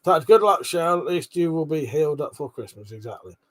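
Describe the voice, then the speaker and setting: Higher-pitched British man chatting on a livestream. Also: lively intonation, quick pace. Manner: informal, rambling, sweary. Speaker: livestream host. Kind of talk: casual livestream chat, reading and answering viewer comments.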